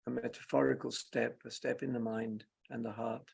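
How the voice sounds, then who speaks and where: A man leading a guided meditation as a teacher, speaking in short, unhurried phrases with long pauses, his voice gravelly and husky. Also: medium pitch, flat delivery, a little mellow. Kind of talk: guided meditation, spoken slowly and softly.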